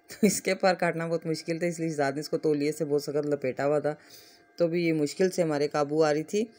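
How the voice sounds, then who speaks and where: A woman with a Pakistani accent narrates a family vlog in an informal way. Her pitch stays fairly flat and even as she explains things in a chatty, run-on storytelling manner. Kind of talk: casual vlog voice-over.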